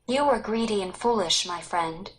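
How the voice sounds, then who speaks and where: A woman voicing a merry male god of legend who gently chides and forgives, in a storybook reading. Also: medium pitch, expressive, normal speed, close to an everyday voice. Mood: stern, forgiving. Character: merry male god, kindly but disappointed.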